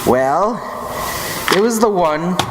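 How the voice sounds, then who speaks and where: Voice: mid-range voice, lively intonation, takes it slowly. An actor playing a grudging, shady male informant in an old time radio crime play, terse and slangy. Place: theater stage. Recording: very noisy echoey hall.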